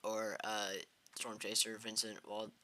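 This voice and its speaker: Halting man with a bright, sharp voice. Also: fairly low pitch, monotone. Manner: hesitant, many fillers and restarts. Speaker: livestream weather host. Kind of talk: live severe weather commentary.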